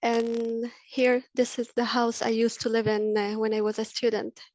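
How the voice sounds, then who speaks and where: A woman's voice: a little high, completely flat delivery, moderate pace, darkish tone, rough recording. A woman in her thirties from Egypt, a university lecturer, giving an earnest webinar presentation with frequent ums and uhs. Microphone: video call.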